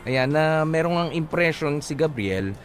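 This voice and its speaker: A man's voice: a little high, animated, a little slow. A Filipino man co-hosting a radio love-story show, talking and reading casually. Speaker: radio DJ co-host.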